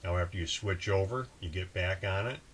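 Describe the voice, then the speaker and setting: A man's voice: sits low, brisk. A man hosting a boating video, explaining procedures in a casual, conversational instructional style. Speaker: host of a boating channel. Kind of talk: casual instructional talk with example radio calls. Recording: clear steady background ambient noise.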